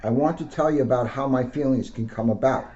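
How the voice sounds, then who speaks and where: A man, a police officer, reading aloud to children in simple, deliberate phrases. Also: mid-range voice, warm tone. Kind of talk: read-aloud storytelling with casual asides. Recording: dull lo-fi sound.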